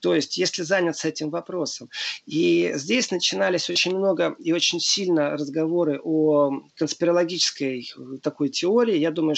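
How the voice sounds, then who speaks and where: A man's voice: a little high, gravelly. A man speaking as a guest on a radio talk show, earnestly explaining his views and hesitating often with filler sounds.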